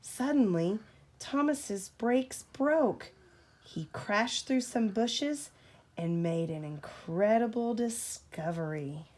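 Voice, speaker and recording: Slow-paced woman reading a bedtime story. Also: fairly high pitch. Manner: expressive, deliberate storytelling. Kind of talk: storybook read-aloud to a child.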